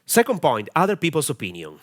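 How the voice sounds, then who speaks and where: Italian man with a lively, halting voice. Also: fairly high pitch. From Italy. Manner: hesitant, many filler sounds, direct and earnest. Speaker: online marketing mentor. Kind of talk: camera-confidence training talk.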